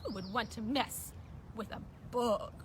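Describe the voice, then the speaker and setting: Woman as a slow, haughty hawk. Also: on the high side, pitched below the performer's own voice, lightly acted. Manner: slow, stately, self-admiring. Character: proud, haughty red-tailed hawk. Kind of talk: children's puppet show. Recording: noisy live recording.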